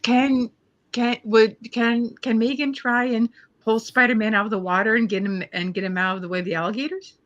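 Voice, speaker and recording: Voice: fairly even intonation, brisk, a bit bright. Tentative roleplay gamer, dull mic sound. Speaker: player in a tabletop roleplay game. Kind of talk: casual game talk, describing a character's actions. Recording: dull low-fidelity mic.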